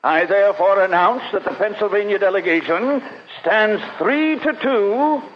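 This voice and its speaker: An actor in an old-time radio drama playing a dignified, firm and assured colonial patriot. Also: male voice, sits high, normal speed, natural voice. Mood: solemn. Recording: muffled noisy old radio recording.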